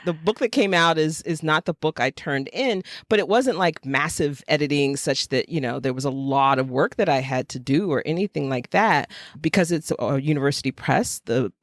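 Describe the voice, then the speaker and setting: A woman with an American accent talking casually and emphatically as a podcast co-host, with animated delivery. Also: low-pitched, no room sound, clean studio sound. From United States, Jacksonville, Florida.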